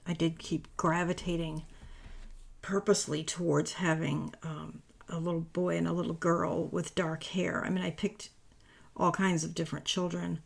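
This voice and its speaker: Woman, raspy, gravelly, husky, tired voice, rambling casual craft tutorial narration. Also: on the low side, level tone, moderate pace. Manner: rambling, self-correcting, chatty. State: voice worn from talking all day. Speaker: papercraft tutorial host.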